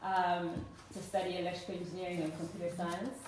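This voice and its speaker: A woman in her forties from Uganda speaks earnestly to a gathered audience, pausing often on "um". Her voice sits fairly low and reaches the mic from a distance. The room throws back a strong echo, with faint noise underneath. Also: level tone. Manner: earnest and warm, with frequent "um" fillers. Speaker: speaker at a fundraising event. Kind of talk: personal story told to a live audience. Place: event room with a live audience.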